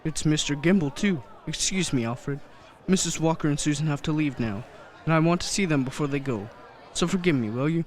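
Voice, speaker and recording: A man playing a kindly, gentle old Santa Claus figure in a radio play. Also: on the high side, fairly quick, hardly any pauses, a faint crowd, close to an everyday voice. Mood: apologetic.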